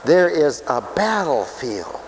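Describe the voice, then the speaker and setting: A man preaching a sermon for radio, who swings from loud, stretched-out lines to quiet asides and acts out other people's voices. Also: a little high, sing-song, slow pace, slight background noise, poor audio. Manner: dramatic swings from shouting to near whisper, acts out voices.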